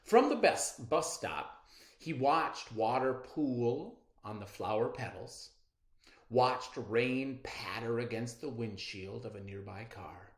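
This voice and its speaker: Children's read-aloud narrator: expressive, widely varied pitch, slow pacing, roomy echo. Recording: roomy, echoing sound.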